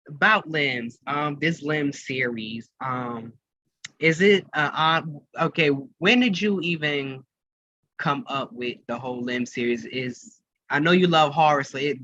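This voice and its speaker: Male music show host, casual and halting, forceful bright tone, dry but slightly muffled lo-fi recording. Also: on the high side.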